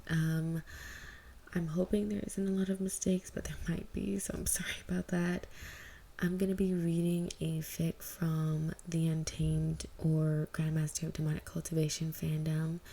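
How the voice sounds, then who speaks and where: A woman's voice: low voice, flat delivery, normal speed, vocal fry, warm tone, slight background noise. A woman who is an amateur podfic reader, talking informally and narrating fan fiction.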